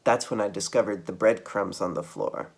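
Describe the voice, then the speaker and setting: A man reading a children's book aloud as a storytime reader, with dramatic, expressive delivery. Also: on the low side, normal speed, gravelly, slightly rough.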